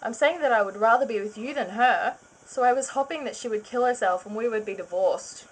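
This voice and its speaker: A woman's voice: a little high, fairly quick, natural voice, low-quality recording. A woman voicing a moody teenage boy who talks with a gloomy sigh. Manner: gloomy, flat.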